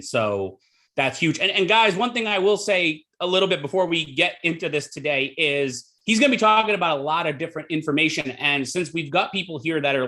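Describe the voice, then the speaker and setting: A man hosting an interview, asking relaxed questions full of fillers, over a video call. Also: fairly high pitch. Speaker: podcast host.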